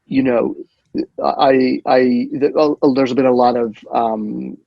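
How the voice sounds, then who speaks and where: A man with a gravelly voice talks about his short film, stopping and restarting often. The sound is dry and muffled, like a lo-fi video call. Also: medium pitch, fairly even intonation, dry recording. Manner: halting, many repeats and fillers. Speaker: short filmmaker. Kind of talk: casual interview answer.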